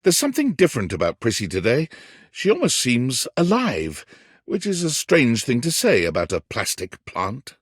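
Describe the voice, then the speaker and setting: A man playing a whimsical, wry yet tender storybook narrator in a video game, with theatrical, deliberate phrasing. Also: medium pitch, huge pitch swings, breathy edge, dry recording, clean studio sound. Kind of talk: scripted second-person storybook narration.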